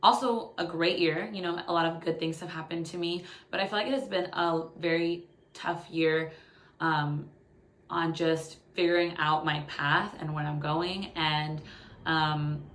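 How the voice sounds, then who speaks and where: A woman in her late twenties talks casually to her phone as a video blogger. Her voice sits a bit low for a woman, and the room adds a clear echo. Her delivery is informal and candid, like catching viewers up on her life. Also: steady tone, a little slow, a bit bright. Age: late 20s. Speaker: YouTube vlogger. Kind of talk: casual personal update to camera. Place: living room by a window. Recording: echoey room sound on phone.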